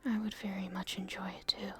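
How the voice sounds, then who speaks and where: A woman playing a playful young princess in love, speaking softly and breathily in an intimate ASMR romance roleplay, with gentle, halting delivery. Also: medium pitch, flat delivery, close to an everyday voice. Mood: soft, confiding. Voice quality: breathy, whispery, soft.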